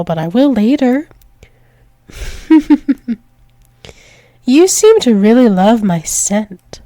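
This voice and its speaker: A woman's voice: on the high side, mellow, no echo. A woman playing a dominant, doting female werewolf demon lover in an intimate ASMR roleplay, teasing and coaxing. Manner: teasing, coaxing, slow repeated praise, often dropping to whispers.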